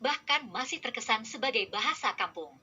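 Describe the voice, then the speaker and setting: A woman reading scripted narration in an even, formal manner. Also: fairly high pitch, fairly quick, muffled recording, very noisy.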